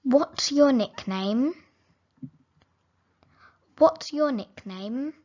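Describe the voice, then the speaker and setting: A woman reading simple English phrases for learners, saying each sentence slowly and clearly, then repeating it. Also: high voice, lively intonation, mellow, dull audio. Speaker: English teacher.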